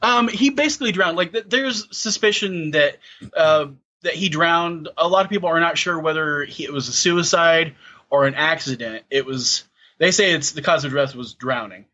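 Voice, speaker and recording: A man's voice: a little high, normal speed, sharp tone, muffled recording. A man in his thirties chatting casually on a podcast, hesitant, with many fillers and restarts. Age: 30s. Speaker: podcast co-host. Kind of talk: casual chat.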